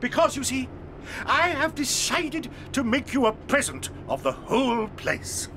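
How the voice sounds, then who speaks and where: An actor playing an eccentric, excitable old male chocolate maker in a full-cast audio drama. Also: very high voice, unhurried, a little hiss or hum, full character voice. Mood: excited. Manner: excitable, theatrical, lively swings.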